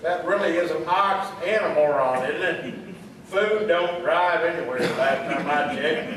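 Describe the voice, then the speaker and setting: A man's voice: fairly high pitch, a little slow, lightly acted. A man playing a pompous, dim lodge leader with a ceremonious, dismissive manner in a comic radio play. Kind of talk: comic radio theatre acting. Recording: echoey hall, distant mic, faint noise.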